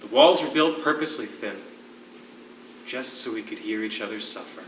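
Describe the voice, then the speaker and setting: A man's voice: lowish voice, a bit bright, a little hiss or hum, close to an everyday voice. Man acting an anguished imprisoned poet, far-off echoey stage. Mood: weary, grim. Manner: deliberate, drawn-out phrasing with dramatic pauses. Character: anguished imprisoned poet, a grieving, defeated widower. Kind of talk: theatrical stage acting, dramatic monologue. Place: theatre stage, miked from a distance.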